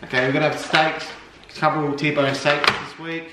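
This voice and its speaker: A man's voice: on the high side, level tone, moderate pace. A man in his thirties with an Australian accent, chatting casually and teasing his partner as they show their groceries. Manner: teasing, dry joking. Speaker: vlog co-host, partner. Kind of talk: casual chat. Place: large home kitchen, indoors. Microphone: far camera. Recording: echoey kitchen from far off, noisy.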